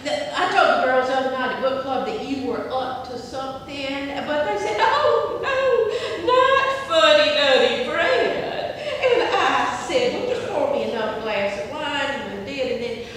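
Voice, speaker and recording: A woman's voice: high voice, animated, unhurried, fairly bright tone. A woman acting as a chatty, scatterbrained, suspicious older wife in a stage comedy, theatrical and rambling. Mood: suspicious, indignant. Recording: very echoey theatre hall, distant, faint noise.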